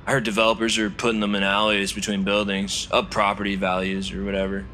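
A man's voice: fairly low pitch, monotone, fast, bright, forceful tone, slight background noise. An actor playing a flirty, chatty, oblivious man in an audio drama, with a casual, rambling delivery. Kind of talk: naturalistic audio drama acting.

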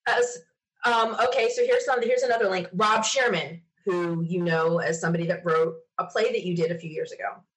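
A woman chatting casually as an interview guest, retelling things in an enthusiastic, animated manner. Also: fairly low pitch, brisk, poor audio. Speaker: podcast interview guest, sci-fi podcaster. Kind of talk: casual conversational storytelling.

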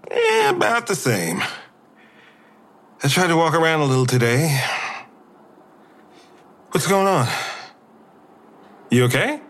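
Audio drama boyfriend,: injured, affectionate, earnest, highish voice with hugely animated pitch. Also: male voice, slow, long pauses, heavily acted. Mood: playful, affectionate. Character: injured, playful boyfriend, tender, earnest, wearing thin.

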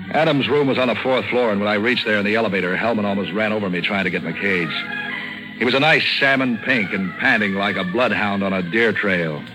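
A cynical, hard-boiled detective played by a man in a 1940s radio noir. His low voice delivers wry wisecracks and slangy narration in a deadpan way. Music plays behind the vintage recording. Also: lightly acted, rough recording.

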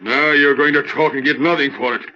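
An actor playing a scheming, menacing Western gang boss with bullying, folksy cowboy talk in old radio acting style. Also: male voice, on the high side, a little fast, bright, forceful tone, a little hiss or hum. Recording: dull old-time radio recording.

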